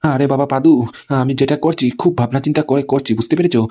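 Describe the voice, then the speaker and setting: A man's voice: mid-range voice, quick pace, mellow, close to an everyday voice. A man playing an aggrieved, scheming husband and company boss in a comic cartoon, speaking emphatically and checking that he is understood. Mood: scheming, self-assured.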